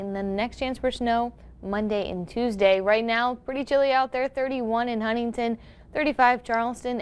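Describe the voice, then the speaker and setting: An American woman delivers a weather forecast. Her voice is crisp and resonant, pitched somewhat high. She presents as a television meteorologist.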